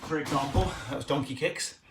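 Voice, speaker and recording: A man with an Essex accent doing casual improvised stand-up as he answers viewers' questions on a live stream from home, cheeky and quick, with loud bursts and muttered asides. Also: on the high side, slight background noise. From England. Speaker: comedian hosting a live Q&A stream. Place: at home, indoors.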